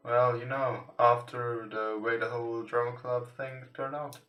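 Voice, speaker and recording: A man who is not a native English speaker reads visual novel text aloud as a let's play host, haltingly and with stumbles over words. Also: mid-range voice, level tone, muffled recording. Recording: roomy echo, distant from mic. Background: soft guitar game music.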